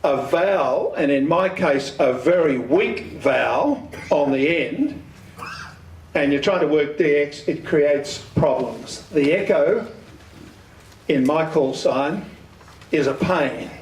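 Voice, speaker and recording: An older man giving an instructional talk, deliberate and emphatic, putting questions to his listeners. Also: a little high, animated, slight background noise. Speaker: speaker giving an amateur radio talk. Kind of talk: instructional lecture with questions to the audience. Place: community centre meeting room. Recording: echoey hall, distant microphone.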